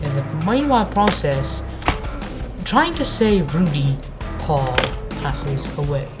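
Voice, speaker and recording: Casting: woman, slow-paced lower voice, sarcastic and profane informal commentary, music playing behind. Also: sing-song. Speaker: anime review video maker. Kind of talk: informal video rant commentary. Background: background music.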